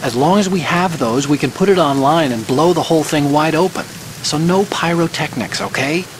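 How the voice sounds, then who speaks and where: An American actor playing an earnest, brainy young hacker in a video game, guiding an agent in an articulate, slightly fussy way. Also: male voice, on the high side, normal speed, a little hiss or hum, close to an everyday voice. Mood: earnest, reassuring. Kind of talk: video game cutscene voice acting.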